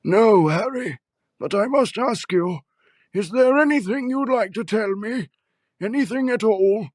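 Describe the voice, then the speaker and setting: An old wizard headmaster played by a man with a high, dry-sounding voice. He is kindly and calm, explaining things in an unhurried, scholarly manner, as in a fantasy audio drama. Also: no room sound. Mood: gentle, probing. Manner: unhurried, scholarly, gently probing.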